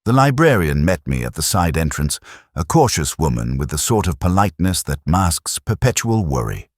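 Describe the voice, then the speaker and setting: A man playing a melancholy police inspector who narrates his case in a measured, literary, reflective way, as in a scripted mystery drama. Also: very deep voice, sing-song, dry recording, clean studio sound, heavily acted. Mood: brooding, hushed.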